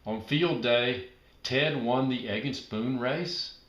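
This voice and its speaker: Slow, expressive man reading a children's story. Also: mid-range voice, reverberant. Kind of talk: children's read-aloud narration.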